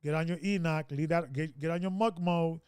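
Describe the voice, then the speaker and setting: A man's voice: on the high side, fairly quick, darkish tone, clear, resonant tone, dry recording, studio quality. A man in his fifties hosting a casual group discussion, preachy and rambling, with many restarts. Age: 50s.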